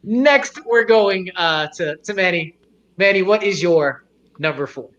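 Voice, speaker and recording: A man hosting a casual podcast, talking in an emotional, halting way. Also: high-pitched, expressive, fairly bright tone. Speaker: podcast host. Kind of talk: casual chat. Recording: dull lo-fi sound.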